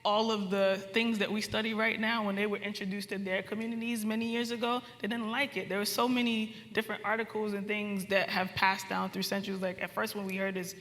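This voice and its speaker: A woman from Baltimore, born in Trinidad and Tobago, speaking as a panelist in a measured, deliberate, earnest way. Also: medium pitch, flat delivery, fairly bright tone. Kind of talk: panel discussion. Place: conference hall. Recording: echoey hall with long reverb.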